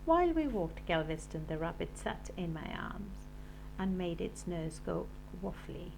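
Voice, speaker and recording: A woman teacher reading a children's storybook aloud in an expressive, animated way. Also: on the low side, unhurried, creaky, slight background noise, poor audio.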